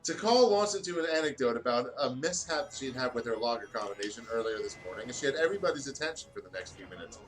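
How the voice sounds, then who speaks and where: Lively man reading fan fiction aloud. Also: medium pitch, quick pace, hardly any pauses, over music, rough recording. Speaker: gaming video co-host.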